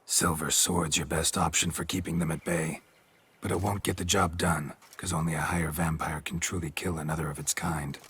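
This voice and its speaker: Very deep, deadpan monster hunter. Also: male voice, normal speed, breathy edge, exaggerated voice. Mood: matter-of-fact. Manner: clipped, deadpan, drops sentence openings. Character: stoic gruff male monster hunter, weary and matter-of-fact. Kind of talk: video game voice acting.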